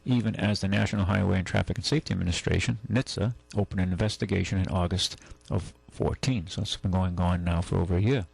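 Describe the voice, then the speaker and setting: Male talk-radio host, deep and gravelly, loose halting delivery, close dry studio sound. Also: fairly even intonation, no echo, rough recording. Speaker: radio call-in show host. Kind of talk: casual talk radio, reading news and chatting. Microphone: close studio microphone.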